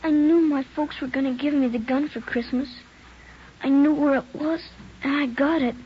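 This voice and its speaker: A high, earnest child's voice, that of a frightened boy weighed down by guilt as acted in a vintage radio drama. He pleads anxiously, and the recording is dull with faint noise. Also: steady tone, moderate pace, close to an everyday voice. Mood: anxious, explaining. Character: frightened guilt-ridden nine-year-old boy. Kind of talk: 1940s radio drama acting.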